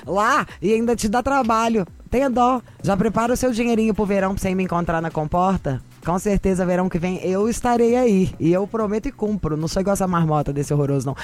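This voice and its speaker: A woman hosting a radio advice show, with sassy, gossipy, animated chat. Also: mid-range voice, fast talker, few pauses. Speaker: radio host.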